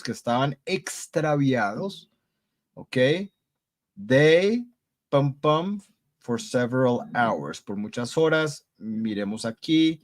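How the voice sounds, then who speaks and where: A man teaching an English grammar class live online, upbeat and encouraging, switching between Spanish, English and Portuguese. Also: medium pitch. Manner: repeats praise like muy bien. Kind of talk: live online class reading student answers.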